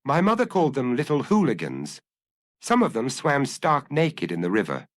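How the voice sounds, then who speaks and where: A man's voice: medium pitch, wildly swooping pitch, normal speed, no echo, clean studio sound, pitched above the performer's own voice. A man voicing a troubled young Frenchman in an audiobook, melancholy and hesitant as he explains himself earnestly. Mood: wistful, explaining. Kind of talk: dramatised audiobook dialogue.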